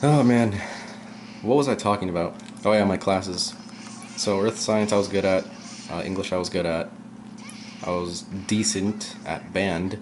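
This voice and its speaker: A young man giving casual, rambling commentary while playing a video game, his voice low and at times monotone. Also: measured pace, background noise. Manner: rambling, chatty, drifts off topic. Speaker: let's play gamer. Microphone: camera aimed at the game screen.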